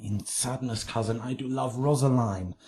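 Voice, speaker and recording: A man's voice: medium pitch, moderate pace, warm tone, close to an everyday voice. An actor playing a lovesick, melancholy young nobleman in a Shakespearean radio drama. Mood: lovesick, downcast. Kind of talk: classical Shakespearean radio acting.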